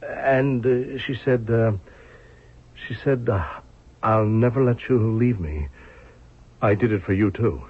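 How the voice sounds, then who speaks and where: An actor playing an uneasy, evasive man in an old radio drama, speaking haltingly. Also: mid-range voice, husky. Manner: hesitant, halting. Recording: muffled, narrow-band old radio recording.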